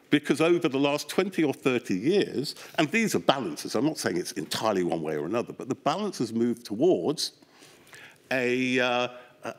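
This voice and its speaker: A man giving expert testimony at a public inquiry, explaining at length in an animated, discursive way with wry asides. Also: medium pitch. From England. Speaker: expert witness, academic psychologist. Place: public inquiry hearing room.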